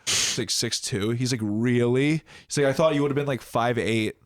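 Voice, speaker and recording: A young man talks in a loose, rambling way, with a filler "like" in nearly every phrase and frequent swearing. His nose is stuffed up, which thickens his voice. He sounds relaxed, as if he is chatting with a friend. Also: mid-range voice, normal speed, no echo. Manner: rambling, heavy use of "like", swearing. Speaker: podcast guest, rapper. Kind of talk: casual chat.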